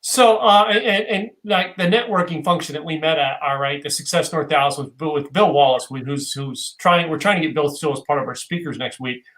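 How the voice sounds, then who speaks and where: A man's voice: on the high side, brisk, few pauses, a bit bright, rough recording. A man hosting a casual video-call interview from a loft room at home, rambling and joking with lots of fillers. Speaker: show host.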